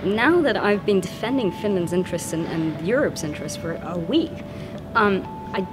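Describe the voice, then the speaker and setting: A woman's voice: on the low side, lively intonation, moderate pace. A young woman from Luxembourg answering interview questions about a student simulation, earnest and thoughtful. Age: young adult. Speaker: simulation participant. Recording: music playing underneath.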